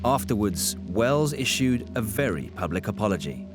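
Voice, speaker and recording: A man's voice: lowish voice, sing-song, moderate pace, music in the background. A man reading scripted documentary narration.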